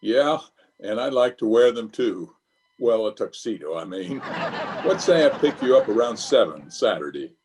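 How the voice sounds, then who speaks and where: A man's voice: on the low side, expressive, normal speed, a little mellow, close to an everyday voice. A man playing a pompous middle-aged office boss in old-time radio comedy, stammering and hedging as he blusters. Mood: eager, pleased. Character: flustered, evasive, pompous middle-aged male water department boss.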